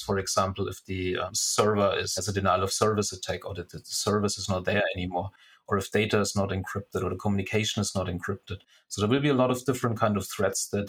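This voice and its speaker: Guy, low husky voice, walks through stuff step by step, interview guest. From Germany. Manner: methodical, step-by-step explaining with restarts. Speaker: security architect guest. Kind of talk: explaining in an interview.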